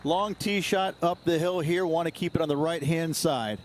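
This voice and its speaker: A man plays a golf analyst in a sports video game. His voice sits fairly high as he comments on the shots, with faint outdoor ambience behind him. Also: moderate pace, slight background noise, lightly acted. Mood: engaged.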